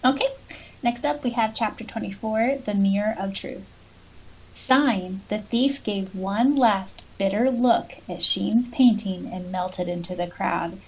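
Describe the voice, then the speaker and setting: A woman with a medium-pitched voice co-hosts a book podcast. She speaks in a casual, conversational way, moving between her own thoughts and read-out passages. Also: a little slow, breathy edge, poor audio. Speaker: podcast co-host.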